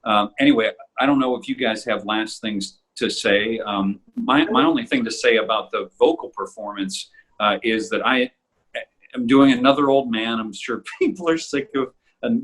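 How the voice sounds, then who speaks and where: A man in a casual behind-the-scenes chat, talking like a voice actor in a thoughtful, rambling, halting way. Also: lowish voice, fairly bright tone, breathy edge, low-quality recording. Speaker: voice actor in an audio drama group.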